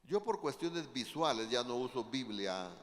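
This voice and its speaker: A man's voice: mid-range voice, expressive, a little slow, slight background noise. A man with a Guatemalan accent preaching a sermon to a congregation, speaking emphatically. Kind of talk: sermon with personal anecdotes and Bible readings. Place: church hall, preaching to a congregation. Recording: echoey hall with long reverb.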